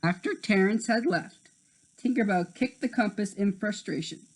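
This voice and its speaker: A man's voice: high-pitched, normal speed, rough recording. A man reading a children's story aloud as narrator, now and then stumbling over a word and rereading it. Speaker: storybook reader.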